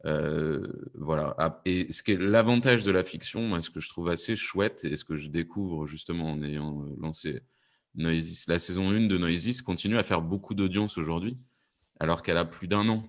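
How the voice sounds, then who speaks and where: A man who works as an audio fiction producer, explaining on a panel and haltingly repeating words as he searches for phrasing. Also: low voice.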